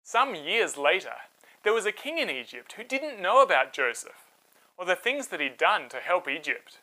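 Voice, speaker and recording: Male storyteller and host for children's narration: animated, bright, dramatic, clear phrasing. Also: high voice, normal speed, poor audio.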